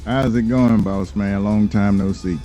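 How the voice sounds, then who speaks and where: A man role-playing as a lawyer in a game, speaking in a measured, formal, halting way. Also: lowish voice, steady tone, dark tone, lo-fi sound, soft background music. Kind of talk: improvised in-character role-play talk.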